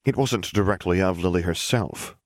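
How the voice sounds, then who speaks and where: A man with a deep, low voice narrates fiction in a measured, dramatic storytelling style. The recording is close and dry, with no room around the words. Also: dry recording. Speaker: audiobook narrator.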